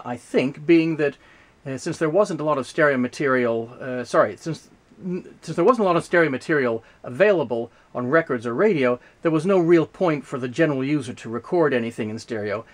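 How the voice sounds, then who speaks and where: Higher-pitched man explaining, halting delivery. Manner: halting, explanatory, with frequent hesitations and self-corrections. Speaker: presenter demonstrating vintage audio gear. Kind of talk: unscripted explanatory demonstration.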